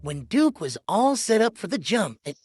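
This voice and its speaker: Expressive woman reading a storybook over music. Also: mid-range voice, normal speed, breathy edge. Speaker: storybook narrator. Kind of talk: scripted children's read-along narration. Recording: music behind the voice.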